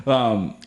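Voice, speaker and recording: A man's voice: sits high, a little hiss or hum. A man of about thirty hosting a casual podcast conversation, rambling and joking in loose, slangy speech. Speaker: podcast host.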